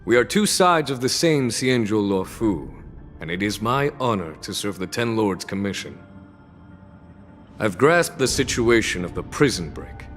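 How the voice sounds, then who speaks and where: An actor playing a composed, authoritative general in a game cutscene, speaking in a measured way. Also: male voice, fairly low pitch, expressive, long reverb tail, soft background music. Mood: calm. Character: calm, composed male general in his prime.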